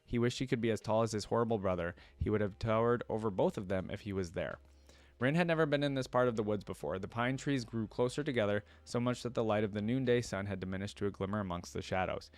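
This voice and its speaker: Low-voiced man reading a story aloud. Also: fast talker, dry recording. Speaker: reaction video host reading a story aloud. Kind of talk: narrated storybook reading with casual commentary.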